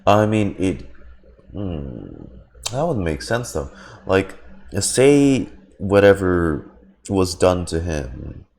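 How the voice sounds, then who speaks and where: A man gives casual live game commentary in a very low voice. He takes his time, musing aloud and feeling his way hesitantly through each thought. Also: big pitch swings, drawn-out words, creaky, a little mellow. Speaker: game streamer.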